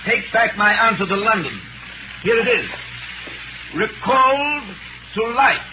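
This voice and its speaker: An actor playing a calm, businesslike English gentleman banker in a 1930s radio drama, composed and precise. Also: high voice, measured pace. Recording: noisy, tinny 1938 radio broadcast.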